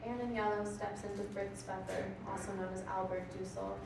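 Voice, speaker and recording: Creaky woman narrating in echoey room. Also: mid-range voice, little pitch movement, brisk. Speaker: stage directions reader. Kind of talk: scripted narration. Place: theater room, filmed staged reading. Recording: echoey room, far off, clear noise.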